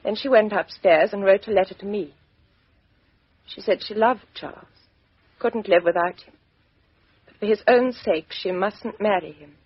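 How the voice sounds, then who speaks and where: A woman's voice: medium pitch, normal speed, pauses often, husky, no room sound, natural voice. An actress playing a defiant, bitter young woman. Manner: sharp, defiant, emotional. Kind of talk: 1950s radio drama acting. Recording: dull lo-fi 1950s radio recording.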